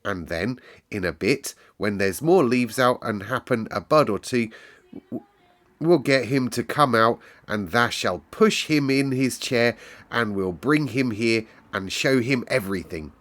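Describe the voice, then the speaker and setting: A man's voice: mid-range voice, expressive, a little slow, a bit bright. Man voicing an earnest little girl speaking Yorkshire. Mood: eager, determined. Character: earnest little girl proudly attempting broad Yorkshire dialect.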